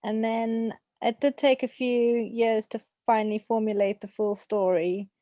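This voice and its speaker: Mellow young South African woman. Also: on the high side, level tone, measured pace, dry recording, clean studio sound. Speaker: author being interviewed. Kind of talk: casual interview talk.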